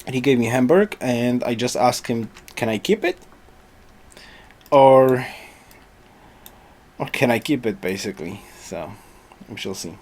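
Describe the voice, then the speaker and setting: A man thinking aloud as he commentates a strategy game, hoarse because he is losing his voice, rambling and restarting his sentences. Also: mid-range voice, animated, measured pace, long pauses, a little mellow. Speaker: gameplay commentator. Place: home.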